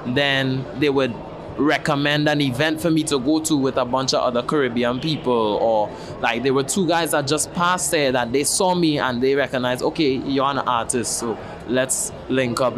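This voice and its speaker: A man with a Trinidadian accent, a festival-goer chatting in a relaxed way during a street interview. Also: mid-range voice, moderate pace. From Trinidad and Tobago. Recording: outdoor street noise.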